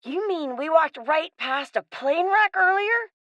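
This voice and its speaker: Radio play young boy: very high, lively range, plucky and loyal, close dry sound. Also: measured pace, no room sound, muffled recording, full character voice. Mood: curious. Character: plucky, suspicious, loyal little boy castaway.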